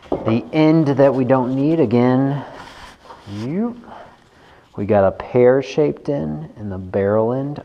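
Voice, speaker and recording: Low-voiced man in an echoey workshop. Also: highs cut off. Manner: informal, thinking aloud while working. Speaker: bike mechanic host. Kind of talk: casual hands-on tutorial narration. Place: indoors in a large wooden workshop garage. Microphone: action camera worn on the body. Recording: echoey wooden garage with noticeable noise.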